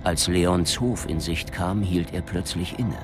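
A man's voice: low-pitched, unhurried, music in the background. A man narrating a radio crime drama from a script.

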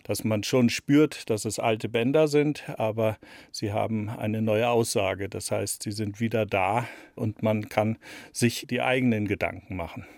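A man's voice: fairly low pitch, fairly even intonation, unhurried, vocal fry, a little mellow, dry recording, clean studio sound. A man in his sixties giving reflective, explanatory interview answers, repeating words for emphasis. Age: 60s. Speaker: radio play author being interviewed.

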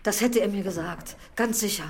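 A woman playing a sorrowful, discreet older widowed housekeeper in a radio drama, speaking hesitantly and deliberately. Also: mid-range voice, darkish tone, a little hiss or hum, lightly acted. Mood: earnest, firm.

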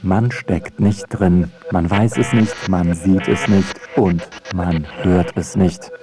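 A man reading a scripted radio feature as its narrator, with a wry, rhetorical way of putting things. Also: deep voice. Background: music.

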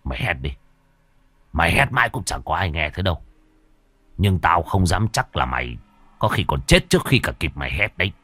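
Man doing a sadistic husband, sneering, gloating, very deep voice, dry close sound. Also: sing-song, fairly quick, pauses often, fairly bright tone, no echo, heavily acted. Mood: menacing. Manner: low gloating menace, sneering.

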